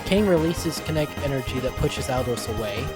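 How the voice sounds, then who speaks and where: A man's voice: medium pitch, expressive, brisk. A man reading scripted story narration in the role of narrator. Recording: dry voice over background music.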